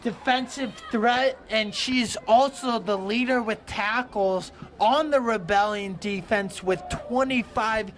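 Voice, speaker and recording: A woman doing loud, joking play-by-play commentary for a livestream from the press box of an outdoor stadium. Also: fairly high pitch, slow pace, hardly any pauses. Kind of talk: live sports commentary.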